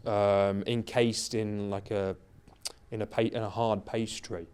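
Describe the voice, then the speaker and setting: A man's voice: fairly low pitch, level tone, slow, a little mellow, clean tone. A man who teaches English, chatting casually and rambling with many restarts. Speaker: English lecturer. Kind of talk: casual chat. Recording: faint background noise.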